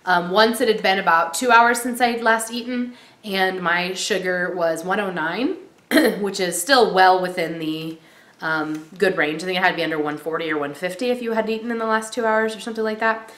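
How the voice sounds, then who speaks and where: A woman in her thirties giving a casual vlog update, chatty with many ums and likes, a little stuffy from a cold and clearing her throat. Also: mid-range voice, normal speed, fairly bright tone. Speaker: vlogger. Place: small room indoors. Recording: roomy echo.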